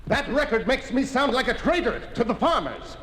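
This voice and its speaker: Wildly swooping, cornered politician. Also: male voice, very high-pitched, big pitch swings, moderate pace. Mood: indignant protest. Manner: urgent, emotional. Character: accused male politician, agitated, defiant, cornered. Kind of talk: 1950s radio drama acting. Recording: noisy old radio recording, crowd behind.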